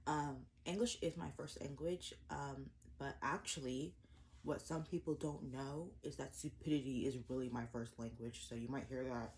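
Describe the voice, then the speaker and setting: Young woman, low voice, eat-with-me vlogger; chatty, rambling, self-deprecating. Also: fairly even intonation, moderate pace, darkish tone, slight background noise. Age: 20s. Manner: rambling, self-deprecating, many fillers and restarts. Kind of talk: casual eat-with-me chat to camera. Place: indoors at home, eating breakfast.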